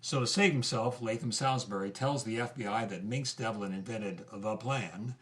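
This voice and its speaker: An actor playing an engaged investigator telling a story in a conversational, podcast-like narration. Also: male voice, on the low side, normal speed, close to an everyday voice. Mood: intrigued.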